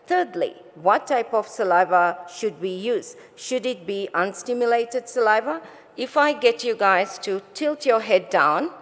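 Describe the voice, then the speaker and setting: A middle-aged woman from Botswana, born in Sri Lanka, giving an explanatory conference talk as a research scientist. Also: medium pitch. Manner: explanatory, asks rhetorical questions. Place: conference stage in a large hall. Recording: reverberant large hall.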